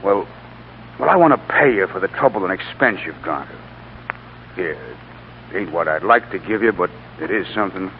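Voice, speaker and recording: A man's voice: low voice, lively intonation, normal speed, long pauses. A man acting a humble, grateful working man in a 1940s radio drama, earnest and folksy in his plain speech. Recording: dull, noisy 1940s radio recording.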